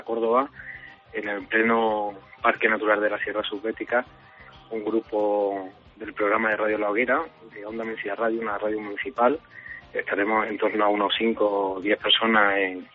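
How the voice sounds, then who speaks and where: Flat-voiced man on a tinny line. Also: lowish voice. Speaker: local radio presenter. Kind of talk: recorded message. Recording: tinny phone-like line with faint music.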